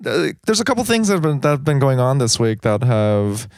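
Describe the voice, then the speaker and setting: A man co-hosting a podcast whose voice swings widely in pitch, reacting with quick, lively support. The recording is dry and close. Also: no echo. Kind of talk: casual chat.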